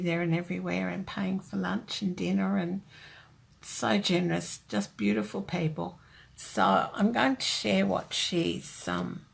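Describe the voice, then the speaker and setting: A woman's voice: mid-range voice, creaky, a bit bright, breathy edge. An older woman with an Australian accent, hosting a rambling, chatty craft live stream and greeting viewers by name.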